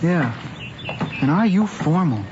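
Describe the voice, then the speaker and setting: A man's voice: a little high, sing-song, slow. An American man acting an earnest, mild-mannered teenage boy chatting in a 1950s radio drama. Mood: musing. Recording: muffled old radio recording, noisy background.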